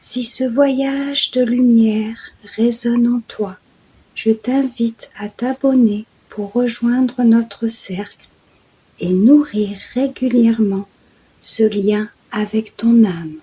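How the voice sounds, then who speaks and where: Very slow, breathy woman guiding meditation. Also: fairly high pitch, rough recording. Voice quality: soft, breathy, often dropping into a whisper. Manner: soothing, hushed, deliberate. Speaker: guided meditation host and yoga nidra guide. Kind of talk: guided sleep meditation narration.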